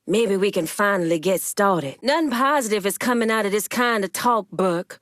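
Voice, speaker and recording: A woman playing a commanding, wary female gang leader in a dramatic video game, speaking deliberately and sternly with folksy turns of phrase. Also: medium pitch, no echo, clean studio sound, close to an everyday voice. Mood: businesslike, firm.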